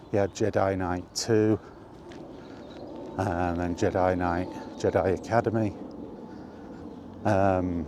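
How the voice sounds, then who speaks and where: A man hosting a casual, rambling chat, thinking aloud with hesitant pauses. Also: deep voice. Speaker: video host reminiscing about games. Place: on the move, walkie talkie vlog. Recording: faint ambient background noise.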